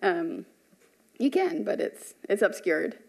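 A woman's voice: mid-range voice, sing-song, takes it slowly, vocal fry, darkish tone, low-quality recording. A woman homeowner with a light voice speaking at a public hearing, nervous and friendly, with "um" and "uh" fillers. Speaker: homeowner applicant. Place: large municipal meeting room. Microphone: podium microphone.

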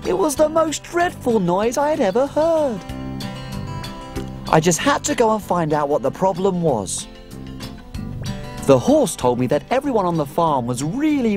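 A woman voicing a small cook who tells her farm adventure in lively, expressive storybook narration for children. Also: medium pitch.

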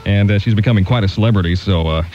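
A man's voice: fairly low pitch, rapid-fire. A man working as a radio disc jockey, delivering upbeat music patter in a 1970s style. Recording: dull 1979 AM radio aircheck, loud music. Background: loud music.

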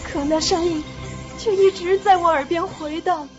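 Eerie high-pitched woman over loud music. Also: fairly even intonation, normal speed. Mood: haunted. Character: eerie high-voiced woman in a horror intro. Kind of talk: dramatic horror radio recitation. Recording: muffled audio under loud music.

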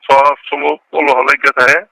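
A man's voice: a little high, flat delivery, a little slow, gravelly, sharp tone, dry recording. A middle-aged Bangladeshi man chatting casually on a recorded phone call, repeating himself and checking he is understood. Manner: repetitive, often checks the listener understands. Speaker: local politician.

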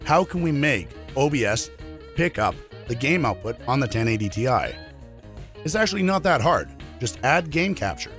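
Hoarse man with a cold explaining tech. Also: medium pitch, sing-song, moderate pace, a bit bright, soft background music. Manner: chatty, explains step by step with fillers. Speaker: streaming tech YouTuber. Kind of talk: casual tech tutorial to camera. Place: small home studio indoors.

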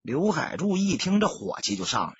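A man narrates an audiobook in a very husky voice with sweeping, animated delivery. The recording is dry and slightly dull. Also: sits high, a little fast, no echo. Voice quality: husky, raspy. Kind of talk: dramatic scripted novel narration.

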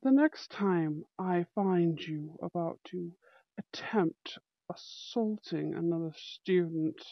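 A woman's voice: fairly low pitch, dry recording, muffled recording, voice pushed down. A woman voicing a young bad-boy school prince in an ASMR roleplay, formal, aloof and protective. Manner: haughty, formal, commanding. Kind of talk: amateur ASMR audio roleplay acting.

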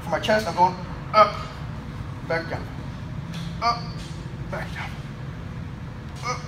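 A man's voice: high-pitched, takes it slowly. A young man coaching a chest workout in a weight room, talking through the moves as he lifts. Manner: energetic, coaching while lifting. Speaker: fitness coach. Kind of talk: workout instruction. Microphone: camera mic from a few feet away. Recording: distant camera audio with room noise.

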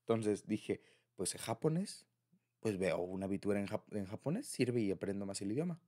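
A man's voice: lowish voice, expressive, normal speed, warm tone. A young man with a Mexican accent speaking informally and confidingly in an intimate, conversational boyfriend roleplay. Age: young adult. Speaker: audio roleplay creator speaking to a partner.

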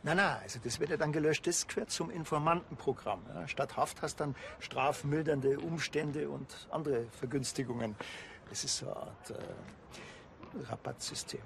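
An actor playing a sly, unflappable police officer with a Bavarian accent and a deadpan manner in a TV drama. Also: male voice, fairly high pitch, moderate pace, creaky, a little hiss or hum. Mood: dry persuasion.

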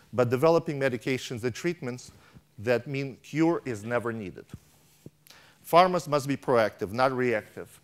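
Man reading a keynote speech on stage. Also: medium pitch. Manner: reads from a tablet with frequent restarts and stumbles. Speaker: keynote speaker. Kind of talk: reading a prepared conference speech. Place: large conference hall stage. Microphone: lapel mic.

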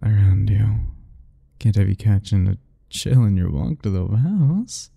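A man voicing a doting, possessive yandere admirer in soft, husky, intimate murmurs for an ASMR romance roleplay. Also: fairly low pitch, expressive, no room sound, full character voice. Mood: tender, coaxing. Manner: slow, intimate murmuring, coaxing and self-talking.

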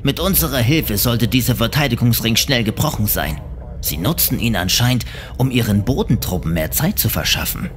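A man's voice: medium pitch, animated, normal speed, natural voice. A man playing a composed starship officer in an audio drama, reporting in a factual, formal manner. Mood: composed, alert.

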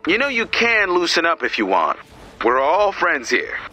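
An earnest, no-nonsense video game mission commander with a dry, exasperated streak. The voice is fairly high and very sharp, with lively inflection. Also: male voice, moderate pace, soft background music, exaggerated voice, rough recording. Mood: wary, patient. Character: exasperated, no-nonsense heroic male team leader.

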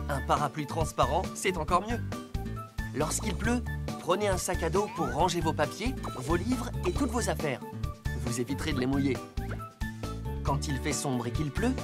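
A calm rescue car from a children's cartoon voiced by a woman, patient and gently admonishing. The voice sits a little low and teaches in an earnest tone. Loud music plays behind. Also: close to an everyday voice. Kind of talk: instructive French cartoon dubbing.

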